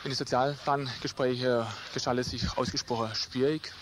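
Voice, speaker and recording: A man giving a spoken interview statement as a staff representative, recorded with clear noise. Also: medium pitch, fairly even intonation, normal speed. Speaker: staff council chairman. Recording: clearly noisy background.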